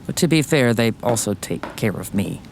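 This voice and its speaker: A man's voice: mid-range voice, lively intonation, normal speed, vocal fry, background noise. An actor playing an awkward, self-centred young man in an audio drama, hesitant, defensive and boyish. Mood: apologetic. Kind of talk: scripted audio drama acting.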